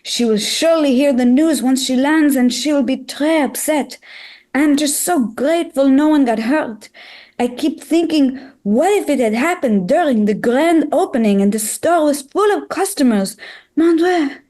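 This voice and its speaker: A woman's voice: sits high, lively intonation, moderate pace, mellow. A woman playing a concerned, genteel married lady who sprinkles in French phrases at a script table read. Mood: worried, relieved. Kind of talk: amateur table read dialogue.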